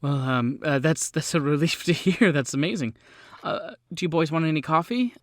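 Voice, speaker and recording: A man's voice: fairly high pitch, normal speed, dry recording, clean studio sound, voice pushed up, natural voice. A man voicing a polite, hospitable female nurse who speaks in a hesitant, courteous, stammering way. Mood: relieved, polite.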